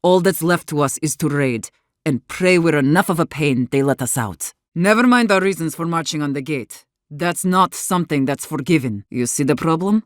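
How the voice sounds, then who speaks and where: A woman's voice: sits low, expressive, moderate pace, no echo, clean studio sound. An actress voicing an embittered, defiant woman warden leader in a video game, weary but fierce, speaking in a blunt, earnest way. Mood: guarded, earnest. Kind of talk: dramatic game dialogue.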